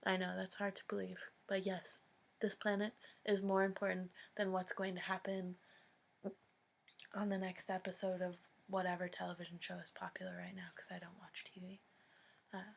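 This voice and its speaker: An American woman giving an earnest public address, speaking solemnly and deliberately in short phrases with long pauses. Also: on the low side, flat delivery, gravelly, a little hiss or hum. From United States.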